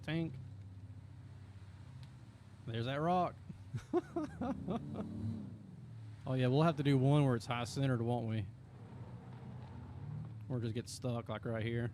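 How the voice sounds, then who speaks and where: A man's voice: medium pitch, steady tone, takes it slowly, long pauses, background noise. A man, rambling casually and chuckling as he streams a game and answers chat questions. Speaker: streamer and video creator. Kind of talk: casual live-stream chat while playing.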